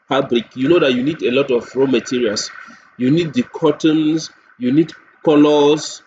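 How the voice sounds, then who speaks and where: A man with a Nigerian accent giving a software tutorial walkthrough, explaining step by step and repeating points with everyday examples. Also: medium pitch. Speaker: software demonstrator. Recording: dull lo-fi mic with faint noise.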